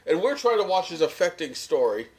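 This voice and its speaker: Higher-voiced American man, casual and opinionated. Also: big pitch swings, brisk. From United States. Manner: opinionated, sardonic. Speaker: podcast co-host. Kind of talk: casual review chat.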